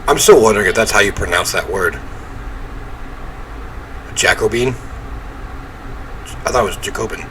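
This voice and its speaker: A man's voice: fairly low pitch, normal speed, pauses often, bright, forceful tone, slight background noise, rough recording. A man reading a visual novel aloud as a game streamer, mixing dramatic narration with wry casual asides. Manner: throat-clearing, wry asides.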